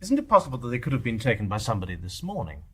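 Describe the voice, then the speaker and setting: Quick-talking British gentleman. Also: medium pitch, big pitch swings, breathy edge. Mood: reasoning. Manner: brisk, direct. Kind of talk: British stage-play radio acting.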